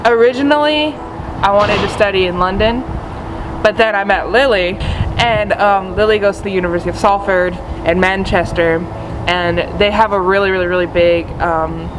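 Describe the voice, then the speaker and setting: A woman's voice: medium pitch, moderate pace. A young American woman chatting casually in an interview, leaning on "like" and repeated intensifiers. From United States. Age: young adult. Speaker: film student interviewee. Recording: clear hum of background noise.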